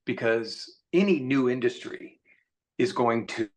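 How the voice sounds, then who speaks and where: A man's voice: medium pitch, unhurried, a little mellow. A man speaking as a guest author in a casual panel conversation, earnest and opinionated as he explains his views.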